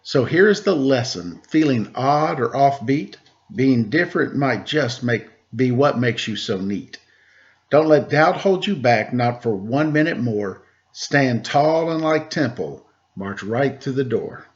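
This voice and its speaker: A man, a teacher, reading a rhyming picture book aloud to children in a lively way and asking them questions. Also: mid-range voice, a little slow, highs cut off. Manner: lively rhyming delivery with rising questions to listeners. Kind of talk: storybook read-aloud to children.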